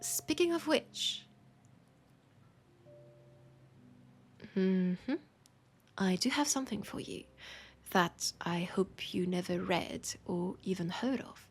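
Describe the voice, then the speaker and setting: A woman with a French accent playing a weary, passionate bookshop owner in an intimate roleplay, softly and pensively teasing a vampire customer. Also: medium pitch, breathy edge. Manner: unhurried, pensive, teasing, with sighs and pauses. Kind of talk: intimate audio roleplay, scripted monologue addressed to listener.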